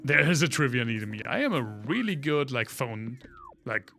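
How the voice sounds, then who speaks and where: A German-accented man rambles through trivia for his chat while playing a game, his voice bright and forward through a studio condenser mic. Faint game music plays under his talk. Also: medium pitch, sing-song, moderate pace. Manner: rambling, full of trivia, talks to chat between gameplay reactions. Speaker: livestreamer. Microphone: studio condenser microphone.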